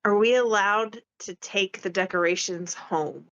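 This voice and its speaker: A woman's voice: medium pitch, measured pace, a bit bright, clean tone, lo-fi sound. A woman playing a tabletop role-playing game, chatting casually and thinking aloud in a tentative way.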